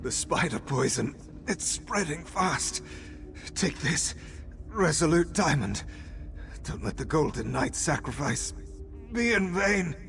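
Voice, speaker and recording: An actor playing a dying, poisoned elf guardian in a video game, strained, solemn and halting. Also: male voice, fairly high pitch, very animated, creaky, a bit bright, a little hiss or hum, exaggerated voice. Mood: weak, urgent. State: weak, fading.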